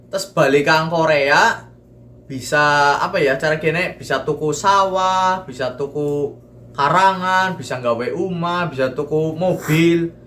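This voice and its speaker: A young man from Cilacap with a Banyumasan ngapak accent, hosting a casual group Q&A and chatting in a relaxed way. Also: on the high side. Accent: Banyumasan Javanese (ngapak). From Cilacap, Central Java. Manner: relaxed, chatty, mixes dialect into Indonesian. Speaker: vlogger and host.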